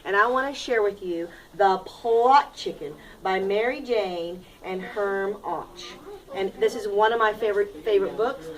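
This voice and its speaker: A woman's voice: medium pitch, measured pace. A woman teacher reading a storybook aloud expressively, stressing points and putting questions to her pupils in an instructive way. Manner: didactic, dramatic emphasis. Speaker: elementary school reading teacher.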